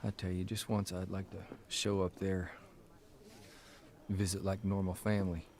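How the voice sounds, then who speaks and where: An actor playing a chatty, defensive 1930s newspaper columnist in a radio drama, with a folksy, evasive way of talking. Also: male voice, sits low, flat delivery, moderate pace, a little mellow. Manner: folksy, evasive, murmured interjections. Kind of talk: period radio drama dialogue.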